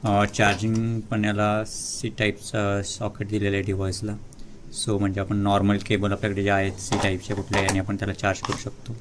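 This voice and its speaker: Low, flat-toned Indian man, halting delivery. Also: noisy background. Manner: halting, pausing between short phrases. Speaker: vlogger presenting a product unboxing. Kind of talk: casual unscripted product walkthrough.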